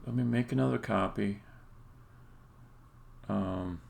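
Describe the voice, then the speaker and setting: Low, very gravelly man thinking aloud. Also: slow pace, a little mellow. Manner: blunt, sweary, thinking aloud in fragments. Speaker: game developer streaming live coding. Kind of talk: casual unscripted live-coding commentary. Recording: faint background noise, keyboard clicks.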